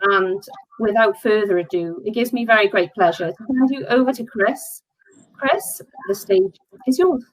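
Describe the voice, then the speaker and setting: A woman hosting a virtual conference, introducing a guest speaker in a measured, formal manner. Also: medium pitch, no room sound, poor audio. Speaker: conference host.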